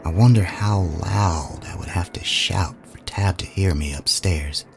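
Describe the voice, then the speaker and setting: Slow, deep-voiced haunted young man, acted by a man. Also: gravelly. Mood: uneasy, hushed. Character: haunted young man, drawn yet frightened, narrating. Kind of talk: first-person scripted audio drama narration. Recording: music under the voice.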